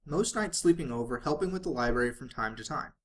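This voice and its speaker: A man's voice: mid-range voice, animated, quick pace. A man reading fan fiction aloud as a dramatic narrator, sometimes stumbling and rereading phrases.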